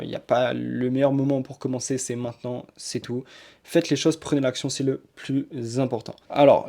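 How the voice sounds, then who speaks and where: A man's voice: medium pitch, vocal fry, a little mellow. A young man giving casual, rambling motivational marketing advice to camera, repeating his points with many fillers. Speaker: marketing coach.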